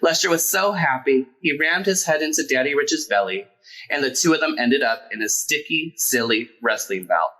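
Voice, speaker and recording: A man reading a children's picture book aloud as a storyteller, expressive and emphatic. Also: medium pitch, moderate pace, low-quality recording.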